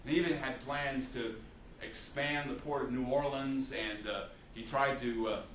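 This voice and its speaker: A man's voice: mid-range voice, steady tone, normal speed, a bit bright, slight background noise. A man giving an unscripted history lecture as a teacher, telling it like a story, with many fillers and restarts. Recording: echoey room, mic at a distance.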